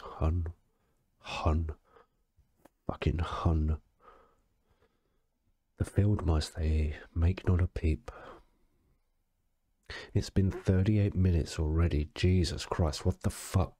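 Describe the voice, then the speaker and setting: A tipsy man with a very low, husky voice reads like he is telling a bedtime story. He goes slowly and deadpan, with casual swearing, in a close, dry sound. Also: no room sound. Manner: slow, sweary, deadpan, stumbling over words. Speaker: story reader. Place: alone in a quiet, dry-sounding space.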